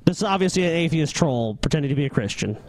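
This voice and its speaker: A man's voice: on the high side, animated, fast talker, darkish tone, a little hiss or hum. A man as a podcast guest, bantering in a mock-pious, chiding, repetitive manner. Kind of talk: comedic banter in mock-earnest persona.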